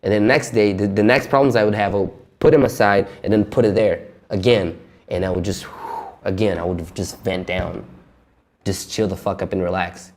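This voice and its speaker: A man's voice: lowish voice, steady tone, moderate pace. Young man venting with a lot of swearing. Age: 20s. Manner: frank and heavy swearing, nervous laughs. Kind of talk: candid personal monologue.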